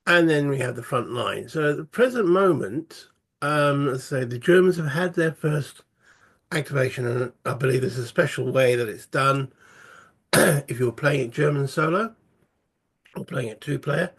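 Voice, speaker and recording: Croaky man thinking aloud. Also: medium pitch, moderate pace, fairly bright tone. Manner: thinking aloud, hesitant, many fillers. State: croaky from a cold. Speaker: solo board game player. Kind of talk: casual tabletop playthrough commentary.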